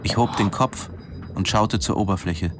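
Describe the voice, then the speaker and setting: An actor playing a brooding male diving instructor in a German radio drama, narrating in a measured, introspective way. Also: deep voice, noisy background, close to an everyday voice. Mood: brooding, tense.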